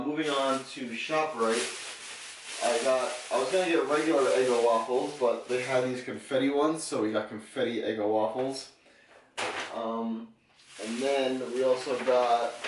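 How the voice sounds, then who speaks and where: Relaxed young man chatting to camera. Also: mid-range voice, noisy background. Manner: relaxed, explanatory, filler-heavy. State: getting sick. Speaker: fitness vlogger. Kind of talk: casual vlog talk to camera.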